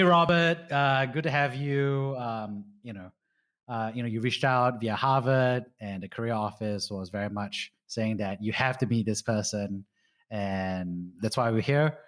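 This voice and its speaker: A man in his thirties hosting a podcast, giving casual advice in a rambling way full of "you know" and "right?". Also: lowish voice. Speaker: podcast host.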